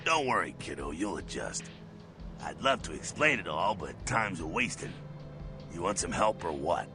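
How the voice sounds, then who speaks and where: A brash street vampire swaggers through slangy advice in a rough, gravel-heavy voice. The pitch swings widely, giving this jovial game mentor a lot of bounce, and music plays clearly behind. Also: male voice, big pitch swings, normal speed. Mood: gruff, coaxing. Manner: slangy, folksy, swaggering, swears. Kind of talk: video game character acting.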